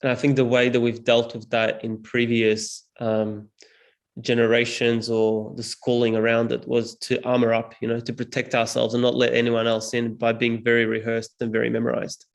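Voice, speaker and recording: A young man from Serbia, whose first language is Serbian, talks in an earnest, reflective way as an interview guest. His voice has a gravelly fry and stays in a narrow pitch band. He sits at a middle pitch and leans on casual fillers as he thinks aloud. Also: completely flat delivery, moderate pace. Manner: thoughtful, earnest, frequent "like" fillers. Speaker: guest, public speaking teacher.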